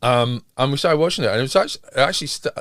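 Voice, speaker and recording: A man's voice: medium pitch, animated, rapid-fire, fairly bright tone, no echo, clean studio sound. A man nearly fifty, co-hosting a casual podcast chat in a hesitant, understated way. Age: late 40s, nearly 50. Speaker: podcast co-host. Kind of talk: casual discussion of television.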